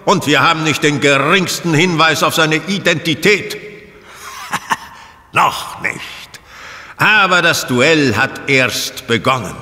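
A grandiose lord declaims from a stage with long reverb. His delivery is slow, pompous and theatrical, in a strikingly sharp voice made for melodrama. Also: fairly high pitch, expressive, exaggerated voice. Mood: ominous, resolute. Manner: pompous, dramatic.

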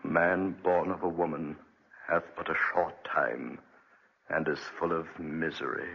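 A man's voice: very deep voice, steady tone, measured pace, vocal fry, husky, exaggerated voice. An actor playing a guilt-ridden, bitter Englishman with a brooding, dramatic delivery in a 1940s radio drama. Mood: brooding, haunted. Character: haunted, guilt-ridden Englishman, bitter former debtor prisoner. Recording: dull restored 1940s radio recording.

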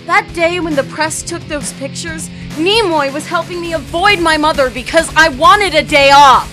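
A woman's voice: high voice, moderate pace, over music, natural voice. A woman playing a cynical, emotional teenage schoolgirl in an amateur online radio drama. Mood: angry, hurt. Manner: emotional, indignant, emphatic. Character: cynical, defiant teenage schoolgirl, secretly a model.

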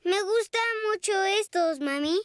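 A woman voicing an excitable, self-assured little girl pig in a children's cartoon, cheeky and childlike. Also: very high voice, a little slow, no echo, studio quality. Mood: excited. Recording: music behind the voice.

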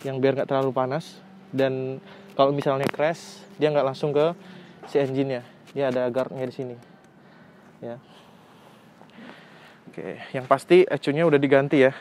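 A man's voice: mid-range voice, level tone, moderate pace, long pauses, darkish tone. Young man in a casual, slangy vlog. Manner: colloquial, slangy, exclamatory. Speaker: motorcycle reviewer. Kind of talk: casual hands-on vlog review. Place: outdoors on a residential street. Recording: outdoor phone recording with background noise.

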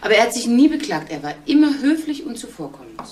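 Measured female witness, radio play, echoey, slightly distant from mic. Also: on the high side, very animated, a little mellow. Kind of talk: German radio crime drama acting. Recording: roomy, off-mic, faint noise.

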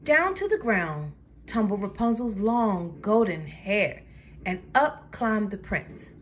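A woman storyteller reading a children's storybook aloud with dramatic, deliberate phrasing. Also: medium pitch, very animated, a little hiss or hum.

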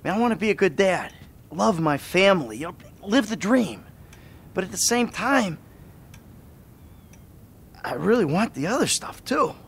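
Bitter middle-aged American man, swinging pitch. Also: animated, normal speed, creaky, long pauses, husky, heavily acted. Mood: subdued, reflective. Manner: sardonic, profane, exasperated delivery. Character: bitter, sarcastic middle-aged male ex-bank robber in therapy. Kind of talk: dramatic video game cutscene acting.

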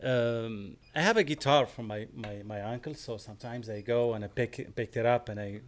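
A man in his forties from Morocco with a Moroccan accent, a guest composer in a casual studio interview, talking haltingly and restarting as he searches for words. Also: mid-range voice. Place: small studio with screens. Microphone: studio mic on stand, headphones.

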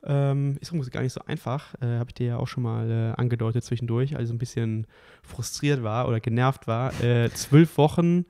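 A man co-hosting a casual podcast as a triathlon coach, talking in a relaxed way with lots of fillers and easy laughs. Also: mid-range voice, fairly quick, hardly any pauses.

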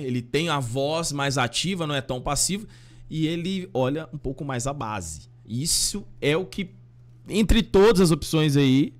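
A Brazilian man in his thirties hosting a fan channel, reacting casually to listeners' voice messages in a slangy, animated way. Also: mid-range voice. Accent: Brazilian Portuguese. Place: small home studio with fan decor. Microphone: studio mic on boom arm.

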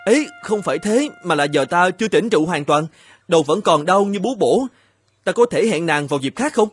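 A man voicing an earnest, evasive married painter in an animated folktale, with a polite and hesitant manner. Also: sits high, brisk, breathy edge, dry recording. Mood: flustered.

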